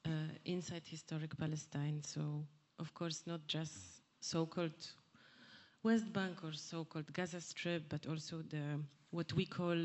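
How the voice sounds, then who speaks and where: A woman asking a question at a public event, speaking haltingly with many fillers and restarts. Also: low voice. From Poland. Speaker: audience member asking a question. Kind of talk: hesitant public remarks. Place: theatre hall at a public event.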